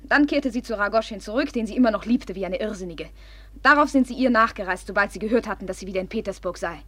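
An actress playing a proud, impulsive, jealous young woman of good family in a classic German radio play, her manner volatile and demanding, her voice breathy. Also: mid-range voice, fast talker, a bit bright, no echo, natural voice. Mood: jealous, accusing. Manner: imperious, volatile, swinging from confiding to accusing.

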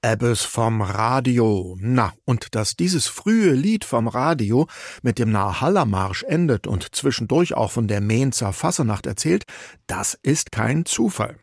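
A man narrating a radio documentary in a calm, explanatory way. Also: mid-range voice, unhurried, husky, no room sound, clean studio sound.

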